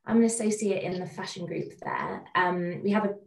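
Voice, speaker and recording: A woman's voice: fairly low pitch, wildly swooping pitch, normal speed. Woman lawyer, far off in a roomy space. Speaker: lawyer, panelist. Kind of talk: panel self-introduction. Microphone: video call. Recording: roomy, distant video call audio.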